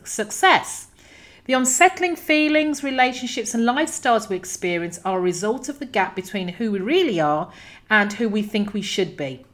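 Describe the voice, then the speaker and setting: A woman podcast host reading a scripted introduction of her guest in a warm, promotional way. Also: medium pitch.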